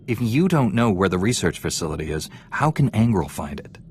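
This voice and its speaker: Deep, composed heroic knight. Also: male voice, sing-song, a little hiss or hum. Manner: composed, measured, self-assured. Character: calm, resolute male Jedi knight hero. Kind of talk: video game hero dialogue.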